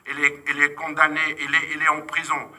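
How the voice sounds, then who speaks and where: A man whose first language is Arabic gives closing remarks at a human rights side event, talking haltingly with many fillers and restarts. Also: a little high, monotone, pushed and bright. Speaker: NGO vice president giving closing remarks. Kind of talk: conference speech. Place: UN committee room.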